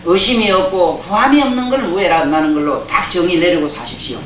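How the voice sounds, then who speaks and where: A man's voice: sits high, fast talker, fairly bright tone. Folksy Gyeongsang-accented older man lecturing. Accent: Gyeongsang dialect. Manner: folksy, joking, asks the audience questions. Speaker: Buddhist lecturer. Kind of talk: dharma lecture. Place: lecture hall. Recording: roomy hall, distant mic, faint hiss.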